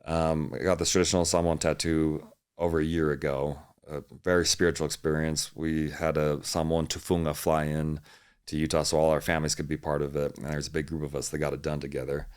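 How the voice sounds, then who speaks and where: A man's voice: a bass voice, little pitch movement, a little fast, clean tone. A young man from Hawaii, a fitness coach, chatting easily as a podcast guest and laughing often. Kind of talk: casual interview chat.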